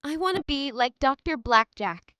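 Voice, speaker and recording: A woman's voice: high-pitched, lively intonation, normal speed, bright, forceful tone, no echo. A woman voicing a frugal, frank young female student in a manga dub, with a sweet, polite and matter-of-fact way of talking. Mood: earnest. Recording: music behind the voice. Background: background music.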